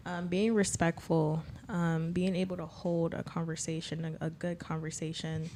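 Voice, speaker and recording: A woman in her early twenties speaks casually as a panelist. Her voice sits low, with a faint bed of room noise behind it. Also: little pitch movement, normal speed, mellow. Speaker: podcast panelist. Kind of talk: casual chat.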